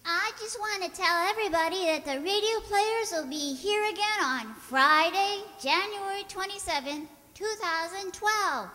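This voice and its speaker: Very high-pitched pestering little girl. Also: sharp tone, heavily acted. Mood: eager. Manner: childish grammar and phrasing. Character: pestering little neighbor girl, cheeky and earnest. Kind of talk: old-time radio comedy acting. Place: live stage in a hall. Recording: echoey hall, distant stage sound.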